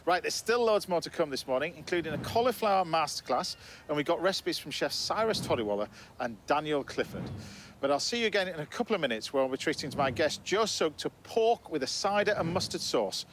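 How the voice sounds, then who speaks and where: A man's voice: on the high side, sing-song, fairly quick, a bit bright, a little hiss or hum. A man with an English accent hosting a cookery show, chatty and jokey as he cooks and talks with a guest. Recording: music playing behind.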